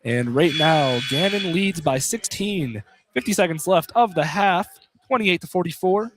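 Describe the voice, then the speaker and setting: A man's voice: fairly high pitch, expressive, a little slow. A man doing casual, informal live play-by-play commentary of a basketball game for radio. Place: courtside at a college basketball game, noise behind.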